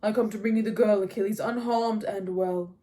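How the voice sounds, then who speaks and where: Woman acting loud, pompous warrior king. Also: medium pitch, steady tone, fairly quick, lightly acted. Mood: stiff, conciliatory. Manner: loud, formal, declamatory. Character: proud pompous male king, stiffly conciliatory army commander.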